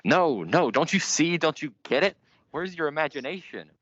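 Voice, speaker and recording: A young man playing a grandiose, obsessive radio announcer, theatrical and boastful in a high school radio play. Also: animated, moderate pace, a bit bright. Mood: fervent, grandiose. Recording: dull lo-fi recording.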